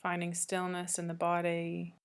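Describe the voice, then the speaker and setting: A woman with a low voice leads a guided yoga meditation. Her delivery is very flat and steady, with little melodic movement. She gives gentle, calming instructions and leaves long pauses between phrases. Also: clear, resonant tone. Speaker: yoga nidra teacher.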